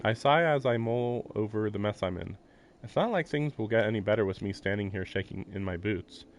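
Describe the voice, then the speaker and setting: A man's voice: fairly low pitch, lively intonation, a little fast, slight background noise. A man livestreaming a visual novel, reading the narration aloud and adding rambling, amused casual commentary. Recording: game music playing behind. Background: video game music.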